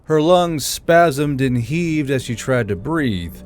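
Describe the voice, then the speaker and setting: A man narrating an audiobook chapter in steady, measured storytelling. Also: medium pitch, soft background music.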